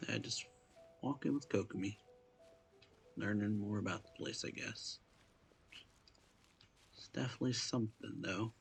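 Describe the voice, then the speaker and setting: A man giving casual game commentary, low-key and often trailing off in half-finished remarks. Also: normal speed, vocal fry, long pauses, faint music behind. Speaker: reaction video streamer.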